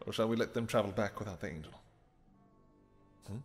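A man playing a suave male vampire king, smooth, flirtatious and dryly amused, in an audio roleplay. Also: lowish voice, fairly quick, natural voice. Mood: teasing. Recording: long reverb with music behind.

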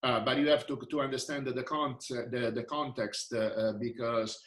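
A man's voice: medium pitch, steady tone, normal speed, a bit bright, roomy sound. A man in his sixties with an Italian accent, answering interview questions over a video call with many fillers and restarts. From Italy. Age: 60s. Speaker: researcher and physician. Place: indoor room.

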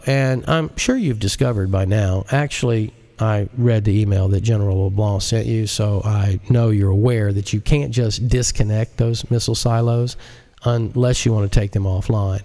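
Man playing a smug, gravelly computer genius. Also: lowish voice, natural voice. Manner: calm, deliberate, condescending. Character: smug, menacing male computer genius taunting his foe. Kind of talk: audiobook character acting.

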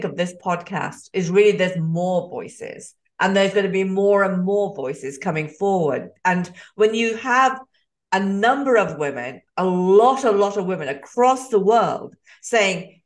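Fifties woman, podcast host on a video call, enthusiastic and earnest. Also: fairly low pitch. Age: 50s. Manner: enthusiastic, earnest, many fillers. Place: home room. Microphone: headset.

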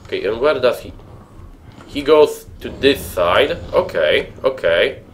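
A man's voice: medium pitch, lively intonation, slow pace, slight background noise. A young man from Poland with a Polish accent, streaming a horror game from a room at home, chatting with viewers and muttering nervously. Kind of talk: horror game commentary with chat.

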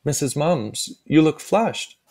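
A man's voice: on the high side, animated, slow pace, darkish tone. A man playing a flustered, fussily polite waiter and self-conscious narrator in a comic radio play, hesitant and apologetic. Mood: soothing, earnest. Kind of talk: comic audio drama acting.

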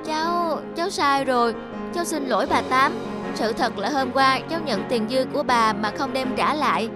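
A woman's voice: high-pitched, moderate pace, over loud music, lightly acted. A woman voicing a young, mischievous and easily flustered schoolboy in a children's cartoon. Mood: ashamed.

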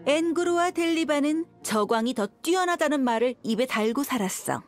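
A woman's voice: high-pitched, expressive, normal speed. An actress voicing a confident, shrewd young female desert mercenary in a video game, cool and teasing in manner. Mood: confident explaining. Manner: cool, teasing, self-assured. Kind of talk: video game voice acting, story dialogue. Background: background music.

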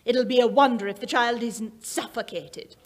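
A woman's voice: on the high side, normal speed, close to an everyday voice. A woman playing a haughty, disapproving lady of an aristocratic household in a radio drama, prim and critical. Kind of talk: old-time radio drama acting. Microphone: stand microphone.

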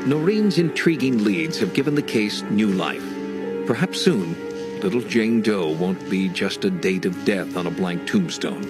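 Male narrator, low register, measured and grave; scripted documentary read with a music bed. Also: expressive.

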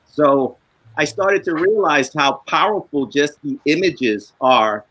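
A man's voice: a little high, expressive, slow pace, fairly bright tone, breathy edge, no room sound, poor audio. A man, an author and illustrator, telling a reflective story on a video call in a casual way. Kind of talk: panel discussion answer. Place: home room with bookshelves.

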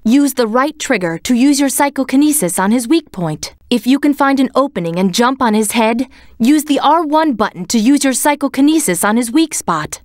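A woman voicing a serious, composed young female cat in a video game, speaking in a measured, commanding way. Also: high voice, no echo, studio quality. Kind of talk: scripted game voice acting, tactical hints.

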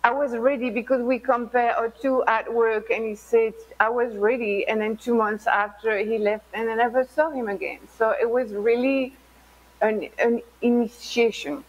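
A woman's voice: on the high side, normal speed. Middle-aged Belgian-raised woman on a dull video call. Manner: animated, non-native phrasing, hand gestures. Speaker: artist guest.

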